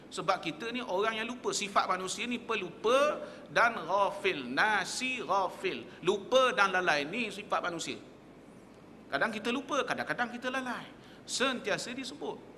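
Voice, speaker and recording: A man's voice: sits high, bright, forceful tone, long reverb tail, a little hiss or hum. A man teaching in a mosque, asking emphatic rhetorical questions and quoting Arabic texts. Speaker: religious teacher. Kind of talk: mosque lecture. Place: prayer hall of a small mosque.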